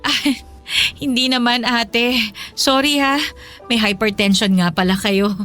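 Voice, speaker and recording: A woman's voice: on the high side, steady tone, takes it slowly, over music. An actress playing a loving, grateful young mother and wife in a Filipino radio drama, tender and emotional. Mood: polite.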